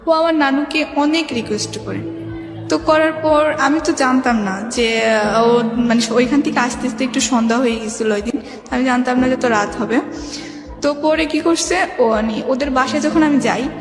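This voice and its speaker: A high young woman's voice with a Bangladeshi accent comes through heavy echo, with music clearly playing behind. She tells a personal story informally and ramblingly, often acting as reporter of remembered conversations. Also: moderate pace. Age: young adult. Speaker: guest telling her life story.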